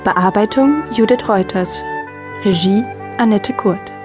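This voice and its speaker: A woman's voice: medium pitch, takes it slowly, over music. A woman reading out the title and credits of a children's radio play as a scripted announcement.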